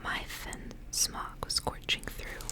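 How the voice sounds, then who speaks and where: Woman doing asmr story reading, all whispered, soft n breathy, takes her time. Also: slow. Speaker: ASMR host reading aloud.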